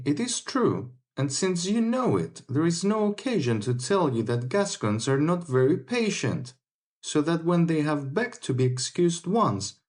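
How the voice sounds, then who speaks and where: A woman lowers her voice to play a hot-headed young Gascon swordsman in an audiobook. He is proud and touchy, and his pitch rises and falls freely as he bristles. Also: a little mellow. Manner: proud, quick to take offence. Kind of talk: audiobook character reading.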